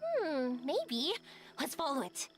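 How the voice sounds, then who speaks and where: High-voiced, playful anime young woman. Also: huge pitch swings, slow pace, exaggerated voice. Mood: curious. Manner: playful, encouraging. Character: bright, playful, encouraging young adult woman. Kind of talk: anime video game voice acting. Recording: loud game music behind.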